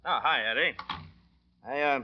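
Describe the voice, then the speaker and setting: An actor playing a blunt but regretful nightclub manager in a 1940s radio drama, talking in a plain businesslike way. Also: male voice, a little high, normal speed, noisy background, natural voice. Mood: uneasy, frank. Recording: dull old radio recording.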